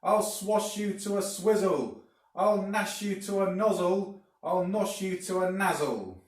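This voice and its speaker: A man's voice: high-pitched, pitched above the performer's own voice. Man putting on a shrieking, spiteful old woman. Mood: furious, shouting. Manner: shouting, drawn-out exclaiming. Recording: echoey room, far from mic.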